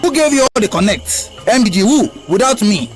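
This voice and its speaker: A domineering, enraged Nigerian crime boss for radio drama. His high voice shouts and accuses, swinging dramatically, with faint music behind it. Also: very animated, fairly quick. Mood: angry. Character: enraged, domineering male trafficking boss berating an underling.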